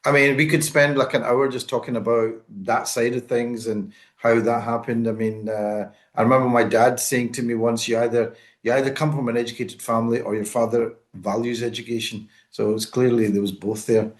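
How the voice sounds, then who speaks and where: A man's voice: mid-range voice, flat delivery, a little fast, few pauses. A man hosting a radio interview, asking questions in a conversational way with some hesitation. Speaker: radio host.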